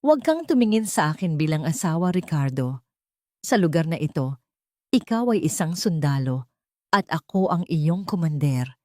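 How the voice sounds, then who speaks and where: A woman voicing a dignified wronged wife and army colonel, cold, measured and commanding, in an audio story. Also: low voice, very animated, darkish tone, no echo, clean studio sound. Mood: cold, resolute.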